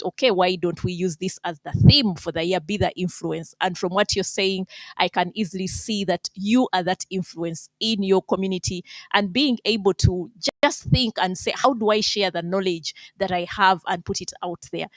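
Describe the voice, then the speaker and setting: Woman, medium pitch, dry sound, encouraging moderator, conversational, motivational. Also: no room sound. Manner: encouraging, repeats key phrases. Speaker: webinar moderator. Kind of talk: conversational summing up and motivational prompts.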